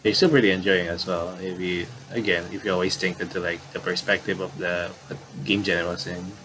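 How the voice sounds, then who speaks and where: A man's voice: sits low, fairly even intonation, brisk, background noise. A man giving casual let's play commentary and reading game text aloud, stumbling and restarting as he reads. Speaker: gaming commentator.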